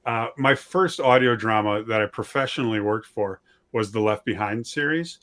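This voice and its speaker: A man's voice: lowish voice, moderate pace, a bit bright. A middle-aged man chatting casually as an interview guest, with folksy, self-deprecating phrasing. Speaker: interview guest, audio drama writer. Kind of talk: casual chat.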